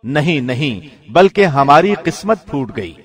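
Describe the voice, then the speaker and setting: A man reading a scripture translation from a script in a formal, measured radio-announcer manner. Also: fairly high pitch, big pitch swings, faint music behind. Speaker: translation reader, radio presenter.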